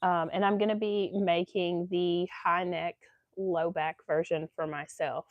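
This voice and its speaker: Slow, stuffy-nosed woman. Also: lowish voice, little pitch movement, no room sound, muffled recording. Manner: chatty, rambling with many ums. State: stuffy nose from allergies. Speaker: sewing channel host. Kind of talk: casual livestream sewing chat.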